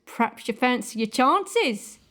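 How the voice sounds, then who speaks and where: Woman acting a high-pitched, cheeky servant. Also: very animated, unhurried, a bit bright. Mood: probing, frank. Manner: frank, teasing, probing. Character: brisk, cheeky, shrewd female servant, probing and frank. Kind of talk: audio drama acting.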